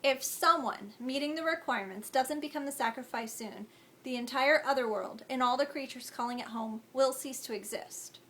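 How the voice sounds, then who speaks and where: A woman voicing a gentle, grave young fae man in a storybook reading, earnest and reassuring. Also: sits high, moderate pace.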